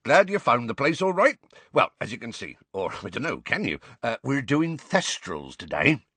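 A man's voice: mid-range voice, sing-song, normal speed, a bit bright, husky, dry recording, voice pushed up. A man voicing a kindly, bumbling giant of a teacher with a hesitant, folksy manner. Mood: cheery. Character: kindly, gigantic rustic male teacher, cheerful and bumbling.

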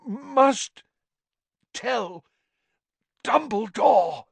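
A man's voice: a little high, expressive, normal speed, fairly bright tone, no room sound, voice pushed up. A man voicing a crazed older male official who rambles and forces out every word with terrible effort, in a strained, broken-off way. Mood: desperate.

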